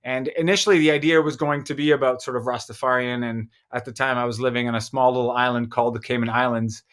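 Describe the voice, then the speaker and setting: A man born in Egypt, a filmmaker, talks through his story as a podcast guest. His speech is thoughtful and hesitant, full of small pauses and restarts. He sits in the middle pitch range for a man. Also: flat delivery, a bit bright, smooth. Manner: thoughtful, many fillers and restarts. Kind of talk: casual interview conversation.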